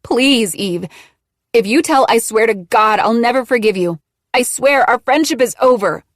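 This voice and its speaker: Woman reading as a scared teen girl, high shaky voice, pleads and snaps back, dry close sound. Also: lively intonation, normal speed, fairly bright tone, dry recording. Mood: pleading. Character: distraught fifteen-year-old girl, defensive and pleading.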